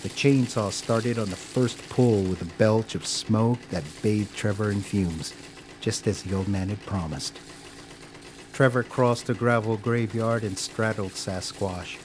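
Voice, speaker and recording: Low-voiced man narrating a dramatic story. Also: unhurried, noisy background.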